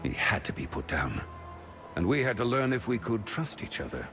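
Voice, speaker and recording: An actor playing a smug, pragmatic official in a fantasy game, speaking in a wry, knowing, confiding way. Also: male voice, lowish voice, big pitch swings, moderate pace. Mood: wry, reassuring. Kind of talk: dramatic fantasy game acting. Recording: music behind the voice.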